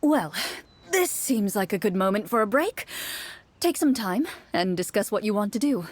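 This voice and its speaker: A woman's voice: medium pitch, very animated, moderate pace. A woman playing a composed, articulate game master and narrator in a video game. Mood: composed, informative. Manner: composed, explanatory.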